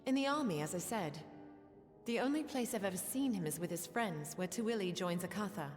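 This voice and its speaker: An actress playing a quiet, guilt-ridden soldier in a fantasy video game, speaking earnestly and hesitantly. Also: female voice, mid-range voice, lively intonation, a little fast, natural voice. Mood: remorseful. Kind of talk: video game character acting. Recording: long reverb, music behind.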